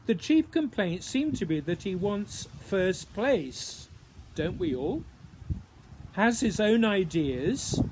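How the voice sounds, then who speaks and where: Male poet for a spoken reading: unhurried, musing delivery with pauses, slightly high voice, some background noise. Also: a little mellow, poor audio. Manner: deliberate, pausing between short phrases, musing questions. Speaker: writer reading own poem. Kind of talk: introduced poetry reading, measured recitation.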